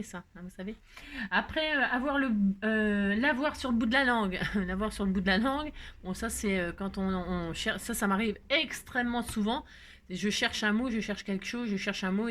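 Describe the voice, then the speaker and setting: A woman's voice: mid-range voice, a bit bright. A woman hosting a craft video, chatting casually in a rambling, jokey way full of digressions. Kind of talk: casual chat.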